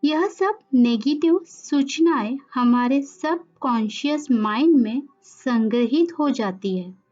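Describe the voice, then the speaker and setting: Female meditation guide, high-pitched and extremely unhurried, pausing between short instructions. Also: animated, a little mellow. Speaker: yoga nidra meditation guide. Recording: dull lo-fi recording with music behind. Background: background music.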